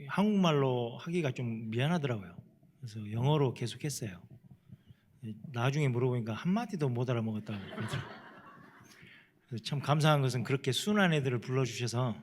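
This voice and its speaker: A middle-aged Korean missionary with a Jeolla dialect, telling stories plainly in a church panel talk with self-deprecating humor. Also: male voice, medium pitch, slight background noise. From South Korea. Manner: plain-spoken, self-deprecating, chuckles often. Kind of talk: panel talk, casual storytelling.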